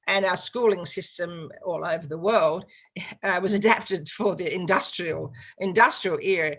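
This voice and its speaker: A woman's voice: fairly low pitch, moderate pace, a bit bright, breathy edge. A woman hosting an interview, an educator speaking in an encouraging, reflective way. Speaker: host. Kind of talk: interview host.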